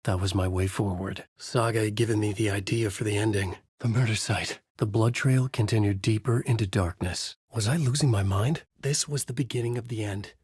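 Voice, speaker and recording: An actor playing a haunted, desperate horror writer, narrating in a brooding, intense noir style for a video game. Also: male voice, fairly low pitch, moderate pace, hardly any pauses, a little mellow, breathy edge, dry recording, clean studio sound. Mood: hushed, intense. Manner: brooding, intense, terse delivery. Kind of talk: dramatic first-person noir narration.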